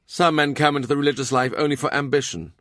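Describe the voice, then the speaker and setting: A man's voice: a little high, fairly even intonation, fast talker, a bit bright, dry recording, studio quality, voice pushed up, lightly acted. A man voicing a proud, sneering bishop at a royal court in a historical audiobook, vehement and sardonic. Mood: bitter, proud.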